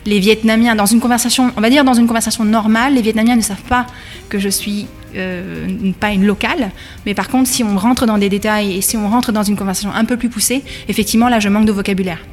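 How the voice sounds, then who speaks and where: A woman in her thirties, from France, answers interview questions. She hesitates often and restarts her sentences. Her mid-pitched voice carries a long reverb, as if in a large room. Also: fairly even intonation, fast talker, soft background music. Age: 30s. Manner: hesitant, many fillers and restarts. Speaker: guest, bakery school manager. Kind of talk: radio interview.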